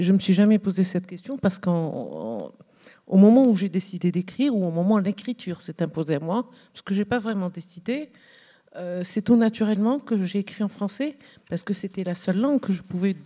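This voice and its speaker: Woman in her sixties, panel writer, mellow tone, thoughtful, hesitant delivery. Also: medium pitch. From Algeria. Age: 60s. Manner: measured, thoughtful, with frequent hesitations. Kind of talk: reflective panel talk. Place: theatre stage at a public literary event.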